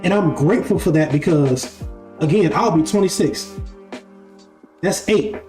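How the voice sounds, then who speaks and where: A man's voice: a little high, a little slow, a little mellow. Young man musing in an echoey room. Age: 20s. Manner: repeats phrases, asks "right?". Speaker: spiritual live-stream host. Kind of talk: casual spiritual monologue. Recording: echoey room with faint music.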